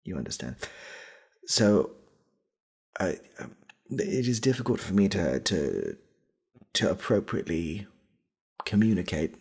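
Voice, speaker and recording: A British man playing an awkward, needy shop worker in a comedy ASMR roleplay, speaking in a hesitant, stammering, repetitive way with a soft, husky, gravelly voice. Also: low voice, sing-song, unhurried, pauses often, voice pushed up, full character voice. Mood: nervous, insistent. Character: awkward, needy male shop worker clumsily propositioning a customer.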